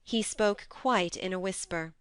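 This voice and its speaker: A woman reading a classic novel aloud as a steady, measured audiobook narrator on a close mic. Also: medium pitch, clear, resonant tone, no room sound.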